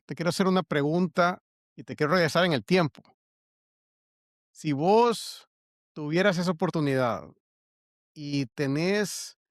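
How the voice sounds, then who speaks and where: A man who hosts an interview, asking measured questions. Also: fairly high pitch, no room sound, studio quality. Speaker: podcast host. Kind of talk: interviewing a guest.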